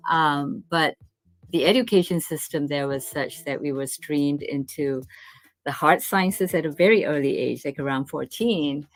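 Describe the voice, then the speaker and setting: A woman from Singapore with a notably low voice. She is a guest on an interview show and answers with candid reflection, pausing with "um" as she thinks. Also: low-quality recording. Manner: thoughtful, candid, frequent ums. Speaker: nutrition professor, guest.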